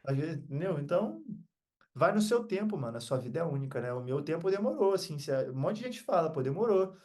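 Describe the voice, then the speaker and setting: A man's voice: on the high side, sing-song, fast, a little mellow. A Brazilian man talking as a podcast guest in a casual, heartfelt motivational chat, earnest and full of slang.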